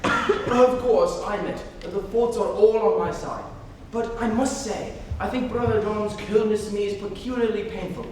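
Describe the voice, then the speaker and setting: Young man playing a cheeky high-voiced gentleman idler. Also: steady tone, moderate pace, natural voice. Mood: mock-contrite. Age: young adult. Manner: mock-earnest, teasing. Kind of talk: amateur dramatic reading of a stage comedy. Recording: echoey hall, distant mic, faint noise.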